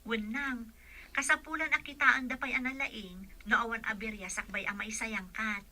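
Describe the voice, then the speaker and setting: A woman's voice: on the high side, steady tone, moderate pace, bright, forceful tone. A woman playing a respectful, caring young caregiver in a radio drama. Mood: reassuring.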